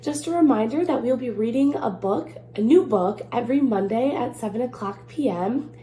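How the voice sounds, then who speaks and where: A woman's voice: on the high side, moderate pace, dark tone. A woman presenting a children's storytime as a museum staff member, recorded in a roomy space. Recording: roomy echo, faint background noise.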